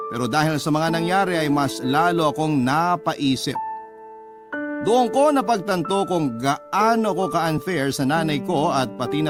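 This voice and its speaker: A man's voice: a little high, natural voice. A man reading a reflective first-person love story as a scripted radio drama narrator. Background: background music.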